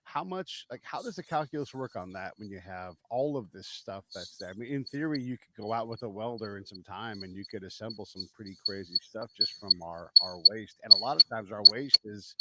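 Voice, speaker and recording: A man's voice: on the low side, lively intonation, a little fast, few pauses, very noisy. A man hosting a podcast, asking questions in a casual, conversational way. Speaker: podcast host. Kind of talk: podcast interview.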